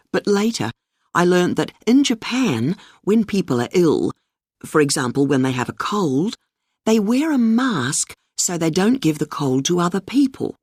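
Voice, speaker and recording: A woman giving a clearly spoken scripted monologue for a language-course listening exercise. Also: deep voice, darkish tone, no echo, studio quality. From New Zealand.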